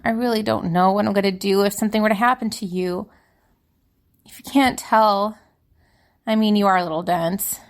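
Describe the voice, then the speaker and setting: A woman as an earnest young spellcaster, medium pitch. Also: steady tone, moderate pace, long pauses, close to an everyday voice. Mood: worried, fond. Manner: earnest, apologetic. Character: earnest young female spellcaster writing to a dear friend. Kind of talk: dramatic letter reading in fiction podcast.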